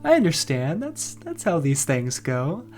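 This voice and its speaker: Guy doing a fussy male customer in audio drama, unhurried n halting, pitch all over, faint music behind. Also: huge pitch swings, darkish tone. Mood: wistful, doubtful. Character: fussy, hesitant male client viewing a secretive property.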